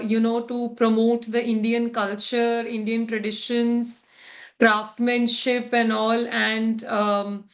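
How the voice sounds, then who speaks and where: A woman hosting a vlog interview, speaking conversationally in halting, hesitant phrases. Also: on the high side.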